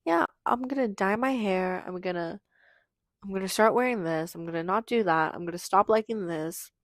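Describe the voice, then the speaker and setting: Teen girl podcast host, hoarse and raspy, middle pitch, chatty and rambling. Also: moderate pace, no echo, clean studio sound. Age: teenager in high school. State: hoarse, losing her voice. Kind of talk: casual confessional monologue.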